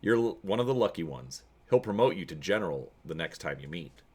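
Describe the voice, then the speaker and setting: A man's voice: low voice, sing-song, fairly quick, husky, exaggerated voice. Man voicing a grim, wry old colonel. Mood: wry, friendly. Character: grim, weathered older colonel, friendly yet cold and ruthless. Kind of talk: dramatic story reading.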